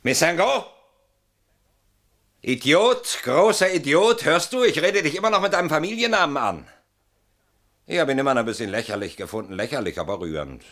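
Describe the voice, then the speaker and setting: A man's voice: fairly high pitch, animated, normal speed, long pauses, fairly bright tone. An actor playing a weary, bitter middle-aged man in a theatrical German radio drama monologue, brooding and mocking, swinging between muttering and outbursts. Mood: scornful, agitated. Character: weary, bitter man haunted by a betrayed old friend.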